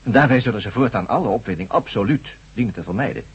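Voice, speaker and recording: Grave, husky surgeon professor, dull recording. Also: male voice, fairly low pitch, lively intonation. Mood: grave, emphatic. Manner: measured, formal, lecturing. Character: grave, authoritative male brain-surgeon professor, formal and emphatic. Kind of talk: radio drama acting. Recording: dull lo-fi old radio recording.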